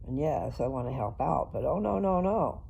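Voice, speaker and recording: A woman's voice: very deep voice, expressive, normal speed, creaky, warm tone, a little hiss or hum, poor audio. An older woman chatting casually in a personal vlog, rambling and talking straight to her viewers. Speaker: vlogger.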